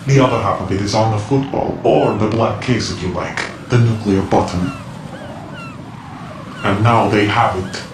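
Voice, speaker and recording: A man playing a low, cryptic ninja informant. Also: fairly even intonation, echoey room, background noise, close to an everyday voice. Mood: cryptic, grave. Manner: terse, cryptic, measured delivery. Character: mysterious male cyborg ninja informant, cool and cryptic. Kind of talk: video game cutscene voice acting.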